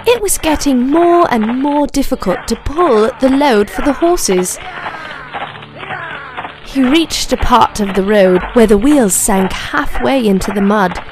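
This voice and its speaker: A woman narrating moral stories for children in a slow, clear, even storybook reading. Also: a little high. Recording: narration over clear background music. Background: background music.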